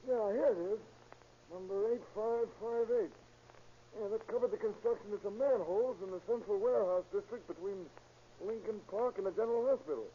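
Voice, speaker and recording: A man's voice: sits high, fairly quick, dark tone, highs cut off, slight background noise, natural voice. An American man playing a breezy, matter-of-fact, unhelpful records clerk in a 1930s radio drama, folksy and wisecracking.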